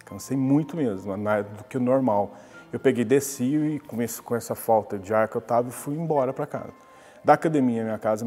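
Guy in his 40s telling his story, relaxed chatty interview, lapel mic in a big echoey lobby. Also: mid-range voice. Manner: conversational storytelling, with many restarts. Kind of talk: personal account in a TV interview. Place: glass-walled lobby, indoors.

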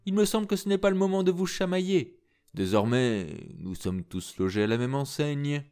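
A man's voice: on the high side, expressive, moderate pace, a little mellow, smooth, voice pushed up. A man voicing a good-natured, mysterious older man in an audiobook reading, soothing and a little solemn. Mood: genial. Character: jovial, mysterious older man, a jack of all trades.

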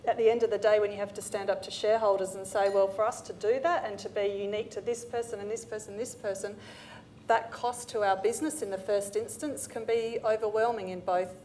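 A woman in her forties speaks on a discussion panel in a mid-range voice. She pauses and circles back as she searches for the right words. Faint room noise sits under her lapel mic. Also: medium pitch, fairly even intonation, a little mellow, poor audio. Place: event studio with branded backdrop.

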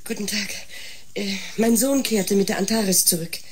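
A woman's voice: mid-range voice, moderate pace, a little hiss or hum, lightly acted. An actress playing a polite, hopeful mother with a hesitant, deferential manner in a science-fiction radio drama.

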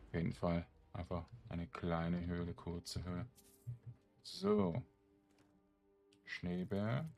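A man's voice: deep voice, takes it slowly, creaky, long pauses, a little mellow. A man giving casual let's play commentary, murmuring to himself in short remarks. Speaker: gamer, let's play host. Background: game music.

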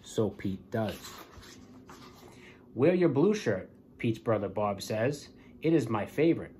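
A man in his forties, a police lieutenant, reading a storybook and speaking to schoolchildren in a plain, deliberate way. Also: on the low side, sing-song, warm tone, a little hiss or hum. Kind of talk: children's storybook read-aloud and address to pupils. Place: small office, indoors.

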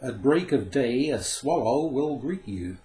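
A man reading aloud, voicing a haughty female great horned owl with a shrill, harsh voice. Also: animated, unhurried, warm tone, roomy sound, natural voice, rough recording. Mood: knowing.

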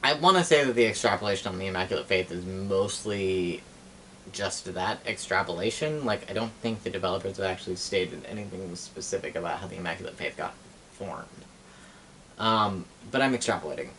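A young man casually explaining things like a storyteller, halting and correcting himself as he goes, picked up by a camera across a room. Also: deep voice, animated, pushed and bright, slight background noise, rough recording. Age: young adult. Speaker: storyteller and game master. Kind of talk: casual rambling explanation of fantasy game lore. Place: small cluttered living room, indoors.

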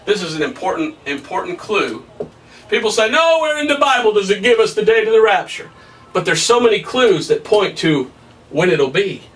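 A man in his thirties preaching a Bible study at a whiteboard, emphatic and excitable, his voice somewhat hoarse. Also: sits high, moderate pace, far from the mic. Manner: emphatic, rising to excited peaks, laughs at his own points. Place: small room in front of a whiteboard. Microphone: camera at a distance.

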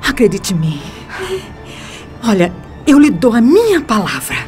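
Proud, desperate Brazilian first lady, played by an actress: melodramatic, widely varied pitch, radio soap with music underneath. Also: big pitch swings, unhurried, darkish tone. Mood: defeated, bargaining. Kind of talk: radio soap opera acting.

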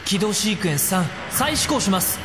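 Man as high-pitched alarmed military operator. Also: very animated, fairly quick, noisy background. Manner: clipped, urgent reports. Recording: reverberant, music behind.

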